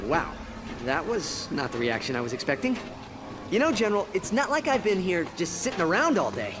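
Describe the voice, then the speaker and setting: A breezy, self-assured young alien hero with a bright, high voice. His lines bounce with lively pitch. Loud game music plays underneath. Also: brisk. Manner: cocky, breezy. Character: cocky young male furry alien hero. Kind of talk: video game cutscene acting.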